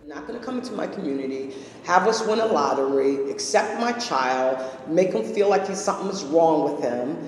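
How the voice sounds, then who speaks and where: A woman's voice: low-pitched, lively intonation, moderate pace, a little mellow, a little hiss or hum. A middle-aged woman giving personal testimony as a parent being interviewed indoors. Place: indoors, school-like room. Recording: very echoey room, music underneath.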